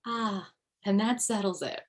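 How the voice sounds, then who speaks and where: A woman's voice: mid-range voice, unhurried, natural voice. A woman playing an overwhelmed, bossy widowed mother with a dry wit in a comedy table read over video call. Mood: decisive, tired.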